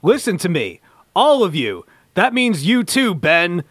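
A man's voice: high voice, wildly swooping pitch, measured pace, fairly bright tone, no echo, clean studio sound, heavily acted. A man playing an earnest, commanding scientist superhero who makes speeches in an amateur comic-book radio play. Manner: speechifying, declamatory.

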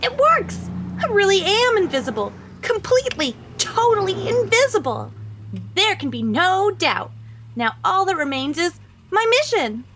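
A woman's voice: very high-pitched, sing-song, a little slow, fairly bright tone, a little hiss or hum, exaggerated voice. A woman playing a resolute, melodramatic young superheroine in an amateur radio play. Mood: triumphant. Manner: melodramatic, declamatory. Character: resolute, dramatic young superheroine who turns invisible.

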